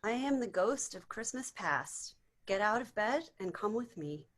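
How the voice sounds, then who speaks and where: A woman playing a calm, firm spirit guide in an amateur table read of a Christmas ghost story. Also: mid-range voice, measured pace.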